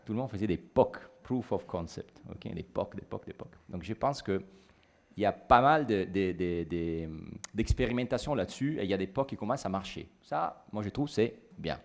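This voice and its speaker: Low-voiced Italian-accented man on a panel. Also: animated, moderate pace, creaky, darkish tone. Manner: thoughtful, plain-spoken, self-correcting. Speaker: conference panelist, consultant. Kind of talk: conference panel discussion. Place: university lecture hall.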